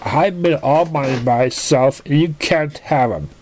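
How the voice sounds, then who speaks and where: A hot-tempered party mare acted by a man reading a story, lifted above a plain male pitch. She is jealous and indignant, making a melodrama of every line. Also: measured pace, darkish tone, slight background noise, lightly acted. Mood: angry. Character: hyper female party pony, jealous, dramatic and hot-tempered.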